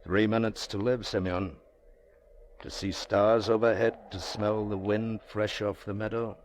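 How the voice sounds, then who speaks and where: An actor playing a wealthy, anguished middle-aged banker in an old-time radio drama, rhetorical and theatrical. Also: male voice, fairly low pitch, level tone, measured pace, slight background noise, natural voice. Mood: grave persuading. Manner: theatrical, rhetorical, swinging from musing to urgent pleading.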